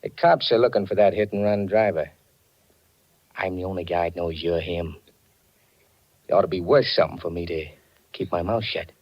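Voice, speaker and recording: An actor playing a menacing blackmailer on the run in 1940s radio style, curt, slangy and tough-talking. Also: male voice, low voice, moderate pace, long pauses. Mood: sly, threatening. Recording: dull, band-limited 1940s radio recording.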